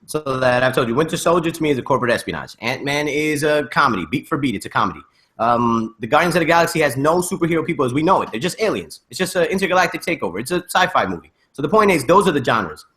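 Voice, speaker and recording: A man talks rapidly in a fairly high voice as a panelist in a casual debate. He is persuasive and informal, building his argument one point after another. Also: a bit bright. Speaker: podcast panelist.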